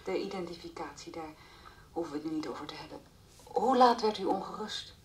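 An actress playing a calm, methodical police interrogator in a radio play, asking measured, probing questions. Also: female voice, on the low side, lightly acted. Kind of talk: Dutch radio play acting, naturalistic. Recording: old radio play recording, faint hiss.

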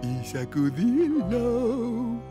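A man voicing a vain, theatrical, excitable lemur in an animated cartoon dub, with a bombastic, dramatic delivery. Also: extremely high pitch, very slow, music in the background, exaggerated voice. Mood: boisterous, gleeful.

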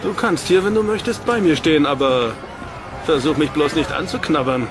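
A man acts out a charming, cocky wisecracker in a sci-fi radio play. His voice sits a little high and sounds flippant and joking, with clear music behind it. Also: moderate pace, crowd noise, lightly acted. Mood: playful, flirty. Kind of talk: German sci-fi audio drama acting.

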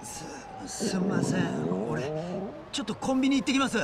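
An actor playing a young, hot-headed yakuza underling in a video game, talking in rough junior slang. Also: male voice, high voice, sing-song, normal speed, very noisy. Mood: urgent. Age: young adult. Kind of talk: acted video game cutscene dialogue.

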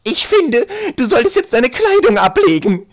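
A man voicing a batty, prickly elderly great-aunt in a storybook, shrill and loud. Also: very animated, normal speed. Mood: insistent. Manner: shrill, loud, cooing or snippy. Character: batty old great-aunt, prickly, doting on stuffed weasel.

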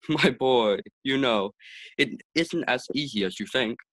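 A man's voice: mid-range voice, takes it slowly, a bit bright, no room sound, low-quality recording. Casting: a man as a hearty, chuckling older boss for a 1940s radio sitcom, dry close mic. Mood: jovial. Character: jovial older male boss, Mr. Atterbury.

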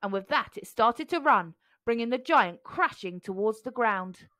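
A woman reading a children's storybook aloud with expressive narration. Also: fairly high pitch, normal speed.

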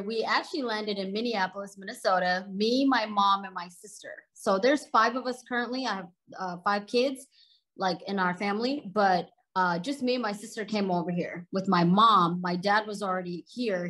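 A woman's voice: medium pitch, fairly bright tone, poor audio. A woman in her thirties from Sudan, a native Arabic speaker, chatting as an interview guest and laughing easily as she tells her story. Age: 30s. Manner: chatty, laughs while telling stories.

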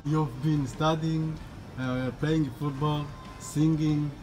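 A man's voice: a little high, level tone, takes it slowly, over music, poor audio. A man interviewing a graduate outdoors, asking friendly questions from behind the camera. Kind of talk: casual interview.

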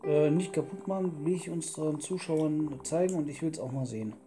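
A man's voice: a little high, fairly quick, noisy background. A man talking casually as he plays and records a let's play video game session. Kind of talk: casual gameplay chat. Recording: instrumental music behind the voice. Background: instrumental music.

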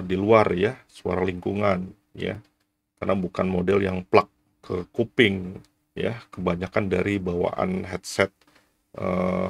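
A man's voice: low voice, creaky, breathy edge. A man in his forties giving a casual, explanatory product review to camera, often tagging his sentences. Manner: frequent "ya" tags, explanatory. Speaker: vlogger reviewing gear.

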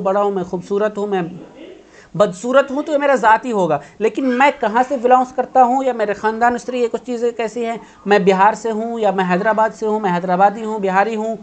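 A man in his thirties teaching an online grammar lesson, patiently explaining and answering his own questions, recorded by webcam indoors. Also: high-pitched. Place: indoor room.

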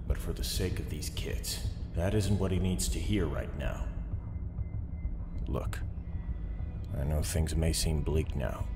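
An actor playing a calm, earnest police officer in an audio drama, negotiating in a measured, pleading way. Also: male voice, rumbling low, exaggerated voice. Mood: inward, resigned.

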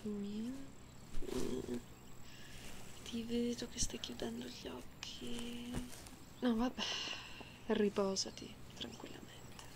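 A woman voicing an affectionate, gently teasing catgirl in a breathy, soothing ASMR roleplay, close to a binaural microphone. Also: on the high side, steady tone, very noisy. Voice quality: breathy whisper. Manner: slow, soothing, cooing.

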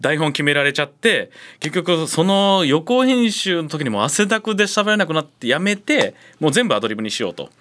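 Male radio host, slightly high and very lively, colloquial and self-deprecating. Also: fairly quick, hardly any pauses. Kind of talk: casual advice chat.

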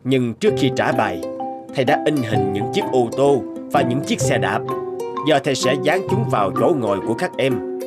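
A stern, competitive male teacher from a cartoon, voiced by a man, with a firm, lecturing delivery. His pitch swings widely as he makes his points. Music plays clearly under his voice. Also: expressive, normal speed, lightly acted. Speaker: teacher character. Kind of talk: animated fairy-tale dubbing. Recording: music bed behind voice.

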